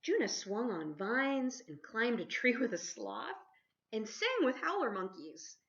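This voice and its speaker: Female storybook reader, teacherly and animated, engages listeners with questions; lively pitch, slightly muffled lo-fi recording. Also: measured pace. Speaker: teacher reading a picture book aloud. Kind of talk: expressive children's storybook read-aloud. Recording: dull lo-fi sound.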